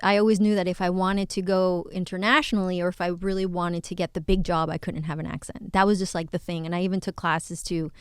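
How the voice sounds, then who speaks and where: Female guest, born in Colombia, smooth clear voice, dry close mic, easygoing and upbeat. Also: fairly low pitch, level tone, fast talker, few pauses, no room sound. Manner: upbeat, laughs often. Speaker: guest, TV host. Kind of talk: casual interview chat.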